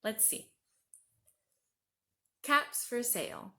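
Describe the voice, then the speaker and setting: Slow-paced woman reading a children's story. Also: a little high. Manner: expressive, addresses young listeners directly. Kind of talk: read-aloud children's story with friendly asides.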